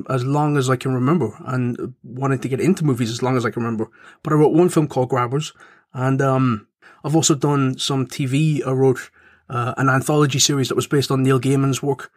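Give me a measured man, mid-pitched and recorded with no room echo. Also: clean studio sound. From Ireland, Cork. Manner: measured, informative, builds up clues. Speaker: podcast co-host, screenwriter. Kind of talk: casual chat with storytelling.

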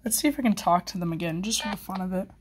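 A woman's voice: on the low side, racing, a little hiss or hum. A woman chatting informally in a hobby vlog, rambling and thinking aloud as she goes. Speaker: hobbyist video maker. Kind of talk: casual vlog chat.

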